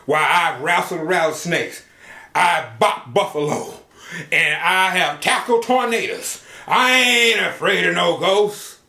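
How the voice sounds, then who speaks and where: Guy doing a loud braggy cowboy, swaggering drawl, roomy echo. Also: sits high, slow, creaky, exaggerated voice. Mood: boastful. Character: loud boastful rough cowboy.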